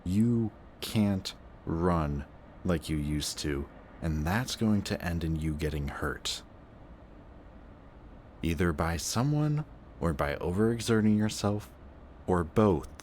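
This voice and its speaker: A man playing a sardonic, gruff but protective antihero villain in an intense, emotional audio roleplay. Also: deep voice, animated, takes it slowly, darkish tone, a little hiss or hum. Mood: upset, worried.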